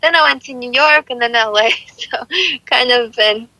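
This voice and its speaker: A woman from Russia talks with a bright, forceful voice set a little high. She is a friendly, chatty singer answering questions in a casual interview. The sound is dry and close. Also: moderate pace, no echo, rough recording. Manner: friendly, chatty, laughs easily.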